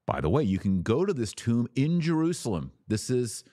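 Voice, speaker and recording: A man hosting a podcast, giving an emphatic theological teaching talk with rhetorical questions and dramatic pauses. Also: medium pitch, sing-song, dark tone. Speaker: podcast host.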